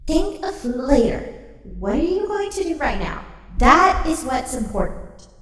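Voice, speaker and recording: Anxious young pony queen played by a woman, animated delivery, roomy echo over music, fantasy drama soliloquy. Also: high-pitched, a little slow, exaggerated voice. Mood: self-scolding.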